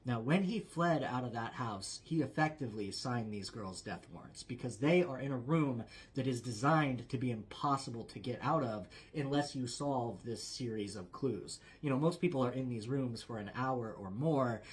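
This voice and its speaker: A man's voice: medium pitch, normal speed, few pauses. A man narrating a true story like a campfire storyteller, in a dramatic yet conversational way with emphatic phrasing. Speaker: storyteller, host.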